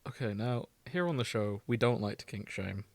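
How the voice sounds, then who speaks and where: A man's voice: fairly low pitch, expressive, moderate pace, gravelly, dry recording. A British man co-hosting a podcast in casual comedic chat, irreverent, rambling and sweary. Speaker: podcast co-host.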